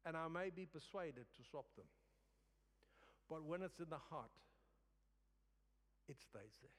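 A man giving a sermon as a guest preacher, in a halting way with phrases repeated for emphasis. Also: a little high, expressive, fairly bright tone. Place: church service in a large room. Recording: reverberant hall.

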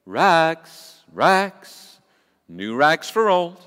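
A man voicing a strong young ragman in a story, calling out his wares in a clear, drawn-out street cry in an echoing hall. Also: a little high, very animated, a bit bright, heavily acted. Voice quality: clear, ringing call. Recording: echoey hall with long reverb.

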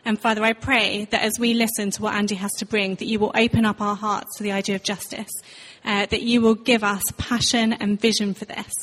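Woman praying in an even voice. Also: mid-range voice, brisk, rough recording. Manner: steady, even prayer cadence. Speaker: prayer leader. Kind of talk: spoken prayer. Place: church.

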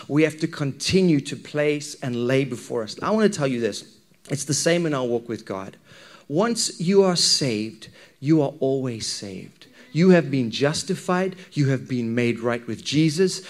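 A man with a Zimbabwean accent preaching a sermon to a congregation in a conversational, questioning manner. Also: a little high. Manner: conversational, engages listeners with questions and anecdotes.

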